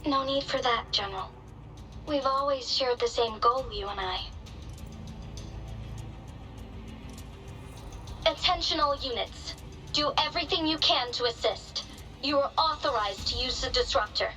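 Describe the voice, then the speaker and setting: A dignified magistrate in a game drama. Her voice is fairly high and bright, formal and solemn, full of poised resolve, with faint music behind. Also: normal speed. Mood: firm, commanding. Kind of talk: dramatic game cutscene acting.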